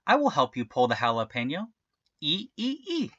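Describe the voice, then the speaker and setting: A tiny, willing female field mouse from a children's storybook, acted out by a man. Her voice is squeaky, raised and bright. The recording is a little muffled. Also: wildly swooping pitch, normal speed, full character voice.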